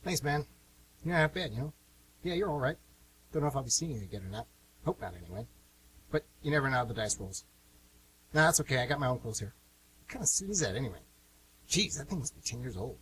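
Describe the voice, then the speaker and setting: Man acting fast-talking cocky young jailbird, distant mic. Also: mid-range voice, lively intonation, fairly bright tone, slightly rough. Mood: flippant. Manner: flippant, slangy, casual. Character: cocky, slangy young male jail inmate, flippant. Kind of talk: radio play monologue. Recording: distant mic with light hiss and hum.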